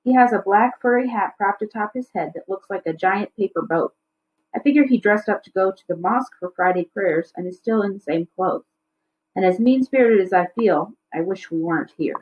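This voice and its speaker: A woman's voice: mid-range voice, moderate pace, phone-quality sound. A woman reading a children's novel aloud as a teacher would, in storybook narration.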